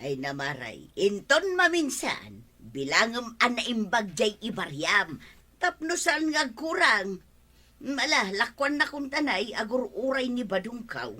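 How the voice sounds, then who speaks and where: A cunning, brash village mother in a radio comedy. Her voice is bright, forceful and rather high, with big exclamatory swoops and an Ilocano Filipino accent. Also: big pitch swings, unhurried, exaggerated voice. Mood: indignant.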